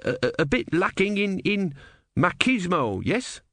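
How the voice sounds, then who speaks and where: A man's voice: high voice, very animated, a little slow, a bit bright, no echo, clean studio sound, pitched above the performer's own voice, exaggerated voice. A man voicing a young male novice who speaks in a hesitant, stammering way.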